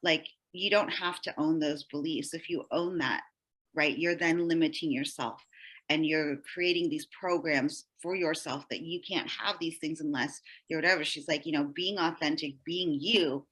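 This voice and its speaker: A woman's voice: low-pitched, steady tone, moderate pace, a bit bright. A woman podcast guest and healer telling personal stories casually, rambling and dropping "like" often. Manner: rambling, peppered with "like". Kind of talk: casual conversational storytelling.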